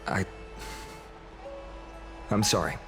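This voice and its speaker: An actor playing a mild-tempered, earnest young hero in a video game, polite, sincere and formal. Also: male voice, extremely slow, over music. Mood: apologetic.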